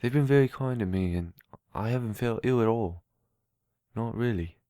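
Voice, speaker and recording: A man playing a timid, gentle, slow-witted young runaway in an audio drama, halting and earnest. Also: medium pitch, no room sound, studio quality, close to an everyday voice.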